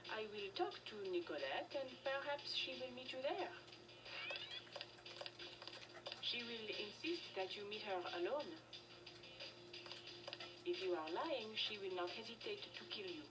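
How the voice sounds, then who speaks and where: An actress playing a guarded, coolly defiant young rebel heiress in a video game, speaking in a measured way. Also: female voice, a little high, big pitch swings. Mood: suspicious, challenging. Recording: distant game capture under loud music.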